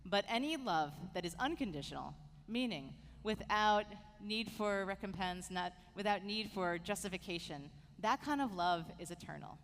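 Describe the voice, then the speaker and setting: A woman's voice: mid-range voice, animated, normal speed, few pauses. Woman rabbi speaking in a reverberant sanctuary. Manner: warm, heartfelt address to an honoree. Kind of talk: sermon tribute to a departing staff member. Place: synagogue sanctuary. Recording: long hall reverb, faint noise.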